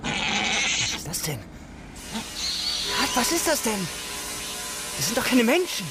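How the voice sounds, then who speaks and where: An actor playing a distraught young farmer soldier in a radio play, shouting with anguish amid heavy noise. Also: male voice, extremely high pitch, sing-song, slow pace, exaggerated voice. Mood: horrified. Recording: very noisy battle scene with animal sounds.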